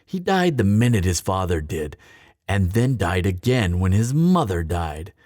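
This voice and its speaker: A bitter, mocking male thief played by a man speaks in a close, dry recording. His delivery swings widely in pitch, sardonic and theatrical. It is a fantasy roleplay spoken straight to the listener, hardened by grief. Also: sing-song, a little mellow, no echo, exaggerated voice. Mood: pained, bitter. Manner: sardonic, theatrical, deliberate pauses. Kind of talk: dramatic fantasy audio roleplay, addressed to listener.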